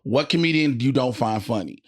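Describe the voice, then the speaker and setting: An American man with a medium-pitched voice talks in loose podcast banter. He is animated and profane, leaning on slang as he makes his points like a working comic. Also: normal speed, breathy edge. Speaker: comedian and podcast co-host.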